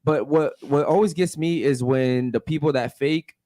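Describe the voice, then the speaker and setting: Dude hosting a podcast, swears a lot, hyped up, dry close sound. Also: male voice, on the high side, normal speed, warm tone, no room sound. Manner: loose and profane, hyped. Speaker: podcast host. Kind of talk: casual podcast chat.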